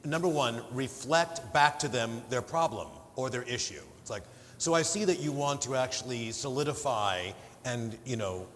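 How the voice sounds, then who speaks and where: A man with a big voice speaking on a conference panel, lively and jokey, acting out little examples. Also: mid-range voice, normal speed. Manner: lively, jokey, talks in asides and acted examples. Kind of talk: panel discussion. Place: large conference hall. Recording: conference hall reverb with faint room noise.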